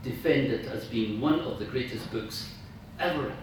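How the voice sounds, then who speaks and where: A man's voice: mid-range voice, moderate pace. Echoey, distant man giving a toast. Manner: enthusiastic, emphatic. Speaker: club president giving an after-dinner toast. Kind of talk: informal after-dinner speech. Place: large room at a club dinner. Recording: echoey room from far off, noisy.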